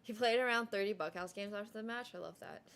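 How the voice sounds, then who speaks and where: A young adult woman whose first language is Mandarin, chatting casually on a live stream and thanking viewers, with frequent "like" fillers and quick restarts. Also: mid-range voice, a bit bright.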